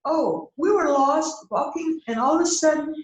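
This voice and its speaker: Glib, petite female suspect feigning innocence, played by a woman, high voice, flippant, amateur mystery play, roomy echo. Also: moderate pace, faint music behind, close to an everyday voice. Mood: glib, defensive. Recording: echoey room sound.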